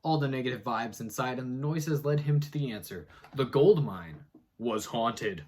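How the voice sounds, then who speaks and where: A man's voice: normal speed, darkish tone. A man giving a theatrical, mock-dramatic reading of an article, breaking off for muttered sarcastic asides. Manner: theatrical, swings between booming and muttered.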